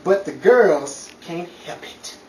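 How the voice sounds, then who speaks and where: Actor as excitable, animated 1950s wisecracker. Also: male voice, high-pitched, slow. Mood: theatrical, playful. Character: excitable, wisecracking boyfriend Henry, 1950s Hollywood pal. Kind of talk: old-time radio comedy acting. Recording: roomy, distant, noisy with crowd behind.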